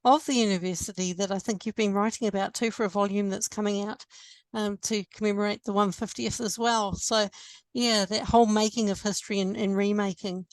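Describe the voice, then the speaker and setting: A woman's voice: medium pitch, fairly even intonation, brisk, no echo, poor audio. Hesitant New woman interviewer, dry sound. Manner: warm, hesitant, with many ums and restarts. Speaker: interviewer, former lecturer. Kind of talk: conversational interview.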